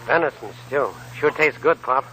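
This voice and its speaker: An actor playing a fair-minded prospector with a plain, folksy, reasonable manner in a 1940s radio drama. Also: male voice, mid-range voice, moderate pace, bright, forceful tone, faint music behind, close to an everyday voice, low-quality recording. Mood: easygoing. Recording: old hissy 1940s radio broadcast.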